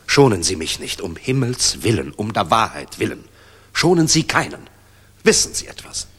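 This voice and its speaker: Low, husky-voiced weary German general. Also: male voice, big pitch swings, normal speed, a bit bright, exaggerated voice. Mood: urgent, pleading. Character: weary, hard-driven German air force general, gruff and sardonic. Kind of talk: 1960s German radio drama acting.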